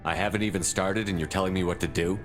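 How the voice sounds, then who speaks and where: An actor playing a tough, wary military commander in a video game, terse and decisive. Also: male voice, deep voice, fairly even intonation, fast, fairly bright tone. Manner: terse, blunt. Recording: game music behind.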